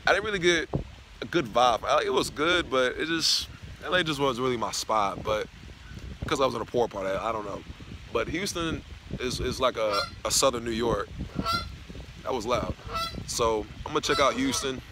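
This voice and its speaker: A man's voice: mid-range voice, moderate pace. A young man from Tennessee vlogging outdoors on a college campus, rambling loosely and swearing freely into a phone held at arm's length. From Knoxville, Tennessee. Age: young adult. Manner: loose, profane, rambling talk. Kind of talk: casual vlog rant. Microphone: phone camera held at arm's length. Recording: outdoor phone audio with clear background noise.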